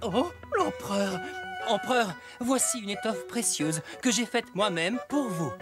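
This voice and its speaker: A woman plays a kind young villager in a children's cartoon. The voice is medium in pitch, earnest and polite, with music clearly behind it. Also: expressive, measured pace, close to an everyday voice.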